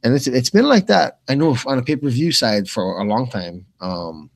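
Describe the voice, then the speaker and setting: A man's voice: mid-range voice, animated, moderate pace, gravelly. A man commentating live on fights in a casual, informal way, with plenty of bro and man. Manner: informal, slangy, calls people bro and man. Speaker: commentator, former pro fighter.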